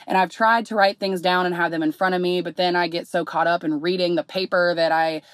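A young woman, slightly hoarse, hosting her podcast in candid, rambling casual talk full of fillers. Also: on the low side, fairly even intonation, a little fast, dry recording, studio quality. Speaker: podcast host.

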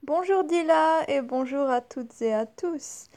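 A woman in her twenties from France with a French accent, speaking slowly and hesitantly as an interview guest, often pausing to search for words. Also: high voice, warm tone. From northern France. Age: 20s. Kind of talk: slow, careful speech with many pauses and fillers.

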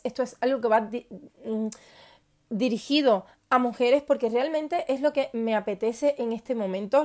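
A woman from Cuba hosting her own podcast, telling her personal story in a confiding, enthusiastic way with many pauses and fillers. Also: fairly high pitch. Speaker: podcast host.

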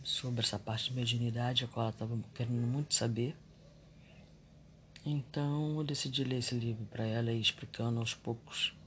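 A man's voice: medium pitch, moderate pace, slight background noise. A man giving a reflective, confessional monologue on spirituality and daily life. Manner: reflective and confessional, animated when irritated.

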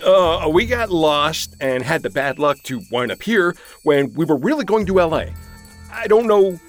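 Nervous young male captive, played by an actor, high voice, apologetic rambling, audio comedy with music underneath. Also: expressive, normal speed. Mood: nervous, pleading. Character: hapless, nervous young man held prisoner. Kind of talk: comedic audio drama acting.

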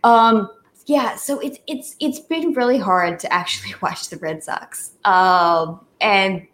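Woman, podcast guest, casual, halting, slow, roomy echo. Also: mid-range voice, fairly bright tone. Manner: hesitant, lots of fillers and restarts.

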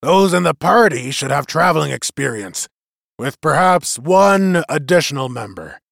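A man's voice: a little high, lively intonation, normal speed, gravelly, fairly bright tone, no echo, clean studio sound, pitched above the performer's own voice, heavily acted. A man doing a blunt stubborn veteran. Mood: emphatic. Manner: blunt, emphatic. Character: one-eyed male veteran, blunt and stubborn.

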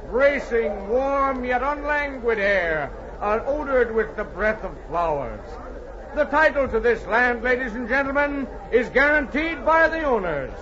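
A man playing a florid, theatrical nineteenth-century land auctioneer in a declamatory 1940s radio style. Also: very high-pitched. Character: flowery, grandiose 19th-century land auctioneer, exuberant showman. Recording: noisy muffled 1940s radio recording, crowd.

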